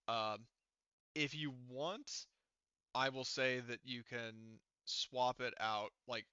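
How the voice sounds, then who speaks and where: A man running a tabletop game as game master, casually explaining rules and haltingly thinking aloud. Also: mid-range voice, bright, forceful tone, smooth, no room sound, highs cut off.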